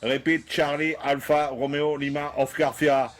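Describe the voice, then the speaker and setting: A man with a French accent making a radio contact in halting, repetitive English as an amateur operator. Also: on the high side, little pitch movement. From southern France. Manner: halting, apologetic non-native English with repetitions. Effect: radio transmission.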